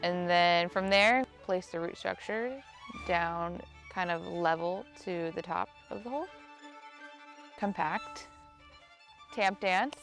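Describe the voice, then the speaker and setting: Gravelly young woman explaining steps. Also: lowish voice, slow pace, long pauses, fairly bright tone, soft background music. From Maryland. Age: 20s. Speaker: education officer. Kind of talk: documentary interview, demonstration.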